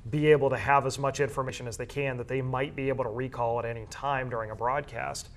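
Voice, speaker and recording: A man with a medium-pitched voice answers interview questions in detail. He explains things in a measured, conversational way, as a school sports information staffer and game broadcaster would. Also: fairly even intonation, slight background noise.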